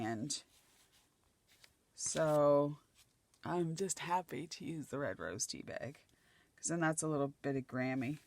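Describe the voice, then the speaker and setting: A middle-aged woman from Nova Scotia, chatting casually as she works on a craft project, her voice slightly croaky and dry. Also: low-pitched, no room sound. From Nova Scotia, Canada. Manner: chatty, thinking aloud while gluing collage pieces. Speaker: craft channel host.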